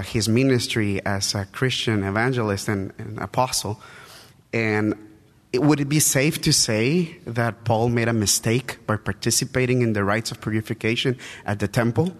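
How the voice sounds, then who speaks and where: A man from El Salvador speaks English with a Salvadoran Spanish accent. He asks his question carefully and politely, choosing his words deliberately. The sound carries a long reverb from a large hall. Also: medium pitch. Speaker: visitor asking a question. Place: large church auditorium.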